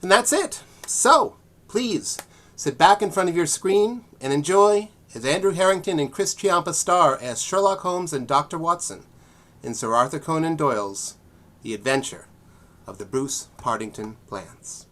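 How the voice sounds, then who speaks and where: Slightly high-voiced man announcing a show. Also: expressive, a little slow, a bit bright. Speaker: announcer introducing a theatre company's show. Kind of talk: prepared welcome announcement.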